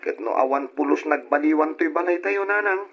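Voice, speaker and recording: A muffled radio drama recording of a young son who feels hard done by. He sounds plaintive and dutiful, with a gravelly edge. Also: a little high, fairly quick. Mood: aggrieved, pleading. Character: put-upon, aggrieved young son. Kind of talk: old radio drama acting.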